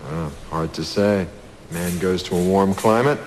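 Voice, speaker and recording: An actor playing a smug, condescending security chief in a crime audio drama, brusque and self-important with veiled menace. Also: male voice, sits low, unhurried, a little mellow, slight background noise.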